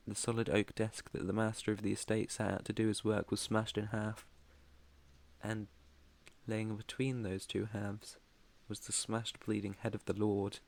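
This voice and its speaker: A low, even British male voice as a man acts out a protective vampire master in an ASMR roleplay. He is soft-spoken and deliberate, haunted but tender, keeping his pitch in a narrow band. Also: vocal fry. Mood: haunted, solemn. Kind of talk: intimate ASMR roleplay monologue.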